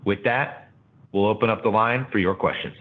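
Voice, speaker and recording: Over a narrow, phone-like line, a male executive reads prepared financial remarks. His voice is fairly low and his delivery formal and measured. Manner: formal, measured corporate reading. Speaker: company executive presenting financials. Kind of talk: scripted earnings call remarks.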